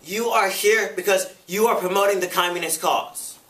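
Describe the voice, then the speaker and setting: Stern congressional interrogator acted by a man in a recital, official, insistent, bright forceful voice, echoey space. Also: on the high side, expressive, fairly quick. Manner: official, formal, pressing. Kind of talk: dramatic prose interpretation. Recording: echoey room, picked up from far off.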